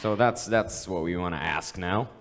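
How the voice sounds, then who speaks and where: A man's voice: low voice, moderate pace, fairly bright tone. A man moderating audience questions at a conference, short and to the point. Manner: brief, asks the room to respond. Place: conference hall. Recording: reverberant hall, from the back.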